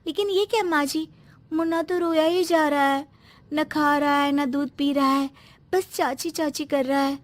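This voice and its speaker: A woman's voice: high-pitched, fairly even intonation, measured pace, noisy background. A woman playing a devoted, emotional young daughter-in-law and mother in a moral-story cartoon, earnest and dramatic. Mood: worried.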